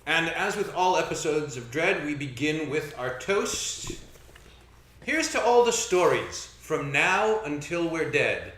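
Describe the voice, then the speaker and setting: A man's voice: on the high side, very animated, slow, fairly bright tone, slight background noise. A man narrating a live tabletop game as game master in a playful, easygoing way. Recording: roomy echo.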